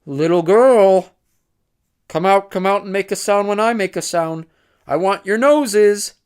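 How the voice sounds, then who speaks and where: A crazed, creepy madman calling out in a high, taunting sing-song. His words are drawn out slow and shrill. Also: a little mellow, smooth. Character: creepy crazed taunting man, damaged and menacing.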